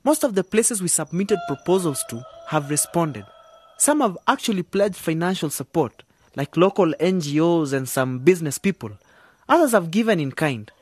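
A lively, swooping voice of a cocky young man in a radio drama. He is excitable and slangy, talking like a flashy DJ who loves his own show. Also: medium pitch, normal speed, husky. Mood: excited, proud. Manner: showy, slangy, animated. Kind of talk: radio drama dialogue with hyped DJ patter.

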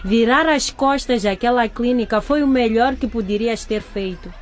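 A woman's voice: on the high side, moderate pace, natural voice. An actress playing an earnest older stranger giving advice to a girl in a radio drama. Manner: earnest, advising. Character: earnest adult woman stranger, a cautioning bereaved mother.

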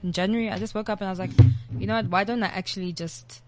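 A young woman from South Africa, a guest DJ chatting casually in an interview, animated and full of "like". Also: medium pitch, racing.

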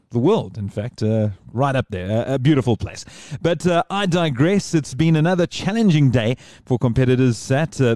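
A man's voice: medium pitch, darkish tone, no room sound, studio quality. Chatty man hosting a podcast. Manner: chatty, rambling, digressive. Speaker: podcast host. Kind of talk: casual podcast chat.

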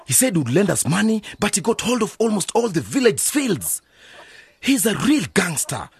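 Wary old-school village uncle, really raspy, super up and down, radio drama. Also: male voice, high-pitched, big pitch swings, moderate pace, no room sound, studio quality, full character voice. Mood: alarmed warning. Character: village uncle, wary elder, protective and encouraging.

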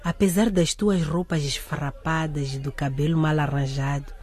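An actress playing an excitable, romantic teenage schoolgirl with a husky voice, in a radio drama. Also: low voice, moderate pace. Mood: tender reading aloud.

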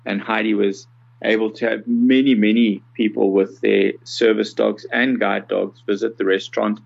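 A South African man in his sixties giving conversational, measured interview answers with some hesitation. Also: fairly low pitch, level tone, gravelly, dull audio. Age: 60s. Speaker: podcast guest, accessibility consultant.

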